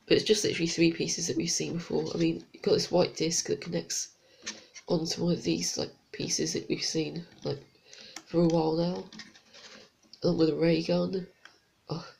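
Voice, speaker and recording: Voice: medium pitch, little pitch movement, normal speed, creaky, a little mellow, slight background noise. A reviewer with a blocked, congested nose, chatting casually through a toy set review with unsure, rambling asides. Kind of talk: casual product review vlog.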